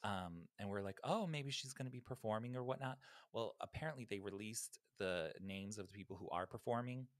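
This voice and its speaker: A man's voice: lowish voice, brisk, few pauses, dry recording. A man co-hosting a podcast in casual, gossipy chat, with campy emphatic repetition, on a close microphone. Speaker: podcast co-host. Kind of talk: casual chat about pop music news. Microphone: close podcast microphone, video call.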